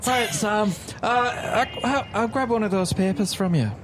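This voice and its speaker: A man's voice: sits high, a little slow, background noise. A man playing a tabletop role-playing game, speaking in casual banter.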